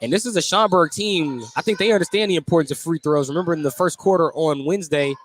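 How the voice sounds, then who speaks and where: An American man doing live play-by-play basketball radio commentary, animated on big plays and conversational in between. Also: a little high, fast talker. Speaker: play-by-play sports announcer. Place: indoor basketball gym during a game. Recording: clearly noisy gym background.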